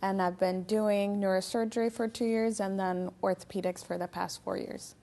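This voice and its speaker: A woman's voice: mid-range voice, steady tone, moderate pace, vocal fry, mellow. A woman originally from Ethiopia, a physician assistant, giving brief, polite answers on a local TV interview.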